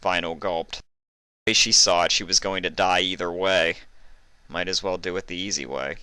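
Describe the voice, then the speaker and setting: A man's voice: deep voice, steady tone, moderate pace, pushed and bright, clean tone, no room sound. A man reading fan fiction aloud as dramatic scripted story narration.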